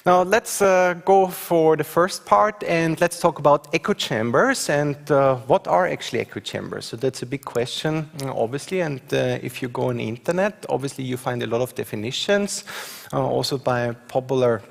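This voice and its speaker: A man's voice: mid-range voice, normal speed, gravelly. A man from Austria with an Austrian accent, a university professor giving a conference talk with slides, hesitant and full of fillers, often checking in with the audience. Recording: long hall reverb.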